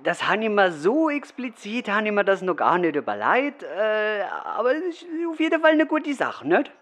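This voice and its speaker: An actor playing an evasive, bumbling provincial governor in a satirical audio drama, hesitant and rambling. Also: male voice, high-pitched, sing-song, normal speed, a bit bright. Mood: defensive. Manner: hesitant, rambling, repetitive verbal tic. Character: bumbling, evasive male provincial governor, a politician dodging questions.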